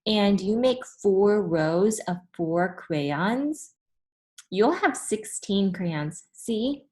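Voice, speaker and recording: A woman's voice: medium pitch, expressive, extremely slow, a little mellow. A woman voicing a smug, eager know-it-all second-grade girl in a storybook, explaining things precisely. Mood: smug, instructive.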